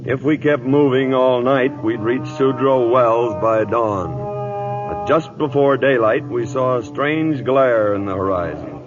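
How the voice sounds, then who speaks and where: Man acting a gruff old cavalry captain, muffled. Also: medium pitch, unhurried, music in the background, natural voice. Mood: grave, measured. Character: gruff veteran US cavalry captain, older, commanding, dryly sardonic. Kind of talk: 1950s radio drama acting and narration. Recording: dull, noisy old radio broadcast recording.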